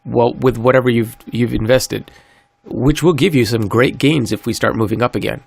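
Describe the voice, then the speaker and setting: A man hosting a solo podcast, a little stuffy from a cold, talking in an earnest, upbeat sales-pitch way. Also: moderate pace, vocal fry, slightly rough, no room sound. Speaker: podcast host. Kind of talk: solo crypto podcast monologue.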